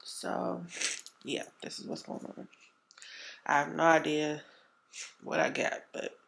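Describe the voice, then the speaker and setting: Woman, thirties, congested and stuffy, easygoing vlog chat; low fry-edged voice, unhurried delivery; noisy home recording. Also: completely flat delivery, a bit bright. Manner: laid-back, rambling with fillers and throat clearing. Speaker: vlogger. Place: home kitchen.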